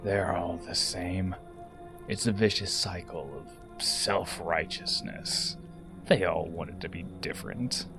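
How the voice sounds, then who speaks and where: A man acting a coldly taunting, possessively fond rebel with hushed, deliberate menace in an audio drama. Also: low-pitched, gravelly, music in the background, exaggerated voice. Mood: persuasive, cold.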